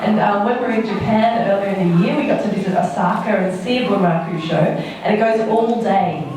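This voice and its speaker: A woman's voice: mid-range voice, a little fast. A woman giving an informal explanatory talk to an audience as a guest speaker. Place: echoey indoor venue before an audience. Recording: echoey hall, distant mic, noisy room.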